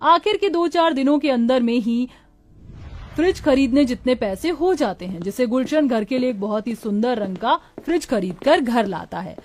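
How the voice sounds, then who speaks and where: High-pitched woman narrating a story. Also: lively intonation, normal speed, a little mellow. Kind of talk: scripted cartoon story narration.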